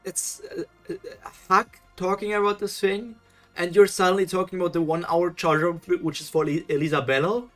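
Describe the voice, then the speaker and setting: A man's voice: high voice, steady tone, moderate pace, faint music behind. A man streaming a live reading of a fan story, stumbling and correcting himself as he reads and stopping to critique the writing. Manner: reads aloud with frequent self-corrections, breaks off to critique. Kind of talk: live reading with reaction commentary.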